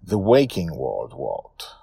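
A man voicing a reflective, wistful old grandfather who tells his dream adventure story in a musing, unhurried way. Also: rumbling low, huge pitch swings, vocal fry, mellow, breathy edge, no room sound, clean studio sound, pitched below the performer's own voice, exaggerated voice. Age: older. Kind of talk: first-person audio story narration.

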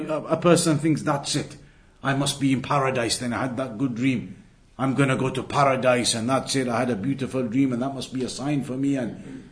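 A man with a slightly high voice teaches a religious lesson. He explains things point by point in an instructive manner, coming back to key phrases to stress them. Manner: didactic, repeats key phrases for emphasis.

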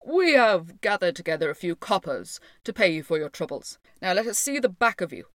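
Lady doing a puffed up selfish mayor guy in a fairytale, loud n blustery, dry close sound. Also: fairly low pitch, sing-song, fairly quick, no room sound. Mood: pompous, dismissive. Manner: loud, pompous, exclamatory. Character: blustering, selfish Lord Mayor, male town official. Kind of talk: storybook character voice.

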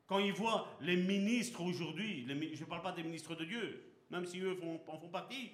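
A man's voice: sits high, a bit bright. A man preaching a sermon as pastor, speaking with passion and throwing rhetorical questions at his congregation. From Belgium. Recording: echoey hall.

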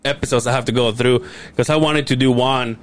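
A man's voice: mid-range voice, fairly even intonation, fairly quick. A young man hosting a podcast, chatting casually and teasing, laughing often. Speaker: podcast host. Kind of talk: casual chat.

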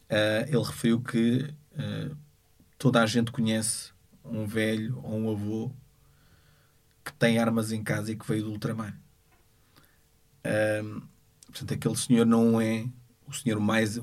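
A man with a European Portuguese accent speaking unscripted as a lawyer, in a measured, halting way as he searches for words. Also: on the low side, darkish tone. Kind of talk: unscripted spoken account of a court case.

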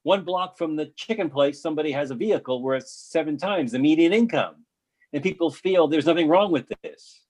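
A man's voice: a little high, a little fast, darkish tone, natural voice. An actor playing an earnest male storm survivor in a readers theatre monologue, declaiming his lines.

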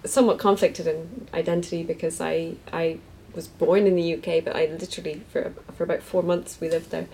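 A woman's voice: fairly low pitch, level tone, a little fast, a little mellow, slight background noise, low-quality recording. A woman novelist with a Cork accent, talking hesitantly and self-deprecatingly at a live bookshop interview. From East Cork, Ireland. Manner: hesitant, self-deprecating, many restarts and laughs. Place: bookshop event.

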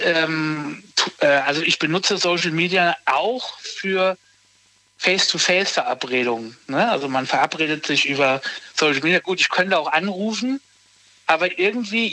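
A man in his thirties chatting casually as a guest, hesitant and halting, often restarting his sentences. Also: on the high side, sharp tone, rough recording. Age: 30s. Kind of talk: casual conversation.

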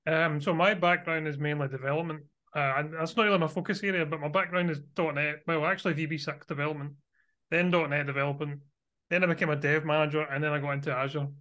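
A man from Scotland with a Scottish accent, chatting casually as an interview guest, relaxed and quick to chuckle. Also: on the high side.

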